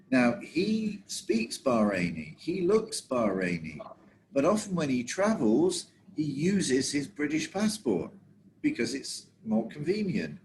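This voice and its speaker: Lively, animated male trainer. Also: mid-range voice, a little hiss or hum, rough recording. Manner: conversational, checks in with listeners. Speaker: travel industry trainer. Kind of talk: training lecture.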